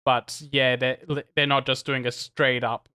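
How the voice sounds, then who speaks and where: A man co-hosting a casual gaming podcast, animated and chatty, laughing between points and restarting sentences often. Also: mid-range voice, unhurried, clear, resonant tone. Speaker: podcast co-host.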